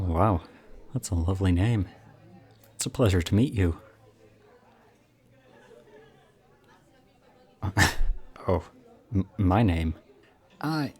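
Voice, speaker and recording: A man acting in a roleplay audio drama as a charming, slightly creepy young college student, chatty, self-deprecating and softly teasing. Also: low voice. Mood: amused, bashful.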